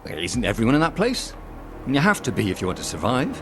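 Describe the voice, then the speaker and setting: An actor playing a guilt-ridden, protective police lieutenant in a video game, speaking in an earnest, guarded, halting way. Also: male voice, medium pitch, wildly swooping pitch, music in the background. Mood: bitter, pained. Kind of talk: video game dialogue acting.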